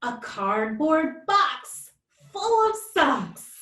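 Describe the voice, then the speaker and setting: A woman author speaking expressively and deliberately, as in a storybook read-aloud for children. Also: high voice, sing-song, a bit bright. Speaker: children's author, guest reader. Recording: roomy, distant microphone.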